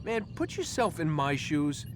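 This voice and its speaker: A man's voice: fairly high pitch, big pitch swings, measured pace, over music. A man playing a sarcastic, frustrated young American captive in a video game, with slangy, wry delivery. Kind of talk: naturalistic video game acting.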